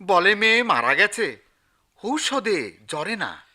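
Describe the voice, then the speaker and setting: Radio comedy: timid Bangladeshi editor, high-pitched, wildly animated, stammering, desperate to placate. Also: male voice, slow pace, heavily acted. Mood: timid, hesitant. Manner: stammering, repeating himself, placating. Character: flustered, timid male newspaper editor, anxious to placate. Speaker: actor. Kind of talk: comic radio drama acting.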